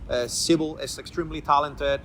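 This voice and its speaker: A man's voice: a little high, fairly even intonation, brisk, a bit bright, slight background noise. A middle-aged man from Rico, hosting a casual interview podcast and rambling through repeated words and restarts. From Puerto Rico. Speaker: podcast host.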